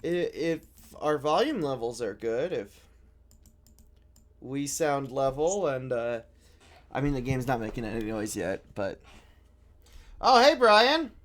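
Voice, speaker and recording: A woman's voice: extremely low pitch, big pitch swings, slow, long pauses. A woman hosting a casual live game stream and chatting with co-players and viewers. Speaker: livestream host.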